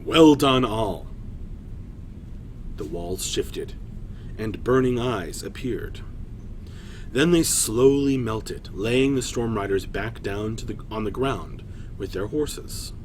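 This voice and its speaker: A man narrating a scripted fantasy story dramatically, with deliberate pauses. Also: on the low side, darkish tone, a little hiss or hum, rough recording.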